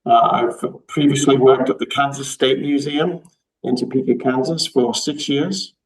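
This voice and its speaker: A man in his fifties with a softened Liverpool English accent, speaking conversationally as a museum director being interviewed. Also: mid-range voice, measured pace, breathy edge, rough recording. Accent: English, from Liverpool, softened by years in America. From Liverpool, England. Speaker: guest, museum and library director.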